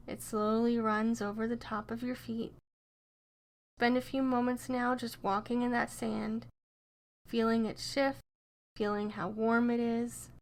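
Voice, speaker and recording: A woman narrating a scripted guided meditation in a gentle, soothing and encouraging way. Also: on the high side.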